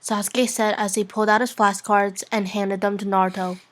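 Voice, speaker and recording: A woman's voice: medium pitch, little pitch movement, fairly quick, a bit bright. A woman narrating fan fiction in an informal, amateur reading-aloud style. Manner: giggly, informal.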